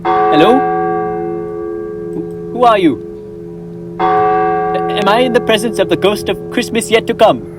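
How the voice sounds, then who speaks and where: A man's voice: high-pitched, expressive, a little slow, pauses often. A young man playing an old miser in a school stage play, talking earnestly and imploring, with music behind. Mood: fearful. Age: teens. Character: old male miser Scrooge, fearful and pleading. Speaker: stage actor.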